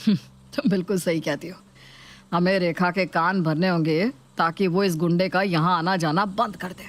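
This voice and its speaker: Man playing a high-voiced scheming husband. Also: steady tone, moderate pace, natural voice. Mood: conniving. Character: scheming husband tenant, sly and agreeable accomplice. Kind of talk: dramatised Hindi story video.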